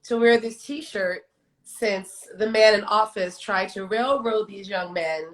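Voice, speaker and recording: A woman's voice: medium pitch, slow. A woman hosting a casual live chat in a playful, exuberant way. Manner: exuberant, playful, sing-song greetings. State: says she lost her voice that morning. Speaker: live show host. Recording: roomy echo, faint music.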